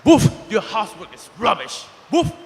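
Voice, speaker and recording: A man's voice: high voice, wildly swooping pitch, normal speed, vocal fry, crowd noise, exaggerated voice. A man voicing a blunt male dog who woofs around each line, in an echoey hall. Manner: barks a woof before and after each line.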